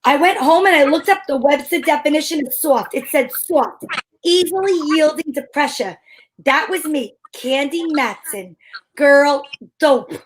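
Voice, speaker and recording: A woman's voice: high-pitched, slight background noise, natural voice, rough recording. A woman playing a breezy, wisecracking woman detective who narrates her case in an amateur old-time radio drama, wry and slangy.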